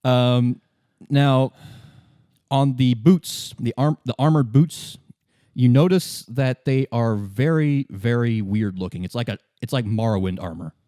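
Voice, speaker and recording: A man acting as game master, narrating a tabletop game casually in a halting, conversational way. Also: medium pitch, mellow, dry recording, clean studio sound.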